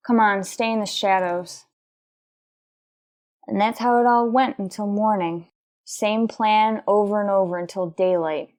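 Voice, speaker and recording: A woman's voice: medium pitch, fairly even intonation, unhurried, darkish tone, lightly acted. Police sergeant, played by a woman: businesslike, clipped, matter-of-fact narrator for a retro radio cop drama, mid-range voice.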